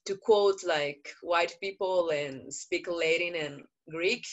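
Slow, earnest woman activist. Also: fairly low pitch, lo-fi sound. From Brazil. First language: Portuguese. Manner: earnest, measured, with frequent pauses and fillers. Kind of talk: panel talk. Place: indoor room. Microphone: video call.

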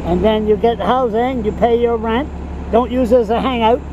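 Gruff high-voiced man, blunt questions. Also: steady tone, normal speed, narrow, tinny audio, noisy background. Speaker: street interviewer filming on a phone. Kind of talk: blunt street interview. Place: city sidewalk outdoors in winter.

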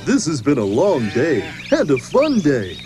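A man voicing a big friendly purple dinosaur in a children's TV show, soft and cheerful with a goofy chuckle. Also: on the high side, huge pitch swings, a little slow, music in the background. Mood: gentle.

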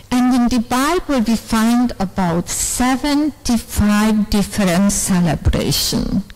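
A woman's voice: mid-range voice, darkish tone. An older woman from Serbia gives an earnest speech to a women's conference in a large hall. She speaks into a microphone on a stand at a lectern and tells her story in non-native English.

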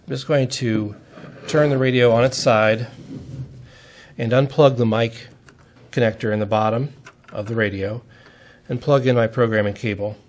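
A man's voice: mid-range voice, fairly even intonation, moderate pace, long pauses, slight background noise. A man giving a step-by-step technical demonstration in a methodical, plain-spoken way, as an instructor would.

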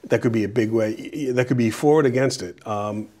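Middle-aged man from Peru, mayoral candidate in a studio interview; earnest, halting delivery, mid-pitched voice. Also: dark tone. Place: small TV studio. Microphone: lapel mic.

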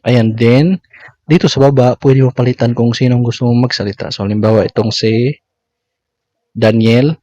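A man's voice: medium pitch, steady tone, moderate pace, long pauses, dark tone. A man with a Filipino accent narrating a step-by-step tutorial as a content creator. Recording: dull lo-fi audio.

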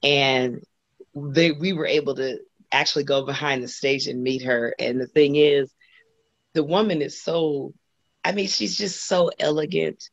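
A middle-aged woman from Los Angeles with a husky voice, talking as a guest singer on a video call. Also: low-pitched, steady tone, measured pace, dry recording. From Los Angeles, USA. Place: home room indoors. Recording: dull lo-fi video call audio.

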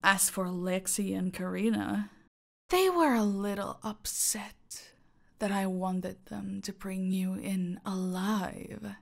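Woman acting slow, creaky, menacing female cartel boss. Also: mid-range voice. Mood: smug, menacing. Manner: slow, taunting, commanding, often dropping to breathy murmurs. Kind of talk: scripted audio roleplay.

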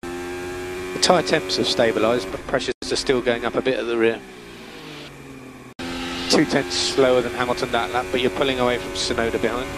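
A man working as a race engineer gives calm, methodical, terse instructions over team radio, repeating key calls. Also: mid-range voice, normal speed, long pauses. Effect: team radio transmission. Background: vehicle hum and noise.

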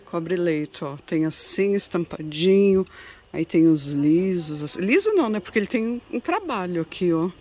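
A woman's voice: lowish voice, big pitch swings, moderate pace, dark tone. A woman with a Brazilian accent chatting casually and admiringly to viewers as she vlogs inside a shop, often ending on little tag questions. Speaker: vlogger showing shop goods to viewers. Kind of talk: casual shopping vlog chat. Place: inside a cluttered home textiles shop.

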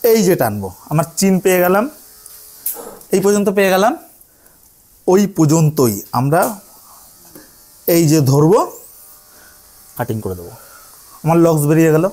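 A man's voice: a little high, sing-song, moderate pace, long pauses, dark tone. A man giving a hands-on lesson as a hairdressing instructor, repeating his phrases and often checking that learners follow. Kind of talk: hands-on tutorial to trainees.

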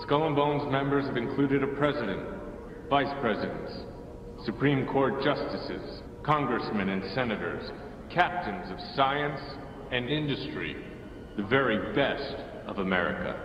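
A man narrating a documentary in a measured, grave way. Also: medium pitch, animated, noisy background. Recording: dull, echoey, distant archive audio.

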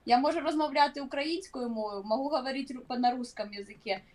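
A young woman from Ukraine argues back in a high voice, indignant and quick to swear. She lies on a couch at home and speaks into a webcam. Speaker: video chat stranger. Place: room, lying on a couch.

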